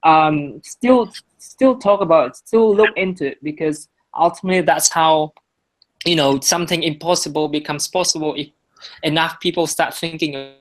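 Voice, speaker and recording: A man's voice: on the high side, moderate pace, poor audio. A young man, a student presenting his project to a class and stopping often to restart his sentences. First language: Vietnamese. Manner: hesitant, many restarts and fillers. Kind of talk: talk to a class with questions after.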